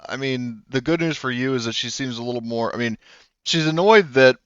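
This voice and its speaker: A man's voice: mid-range voice, fairly quick, fairly bright tone, smooth, no echo, dull audio. A man running a tabletop roleplaying game as game master, chatting casually in a rambling, conversational way.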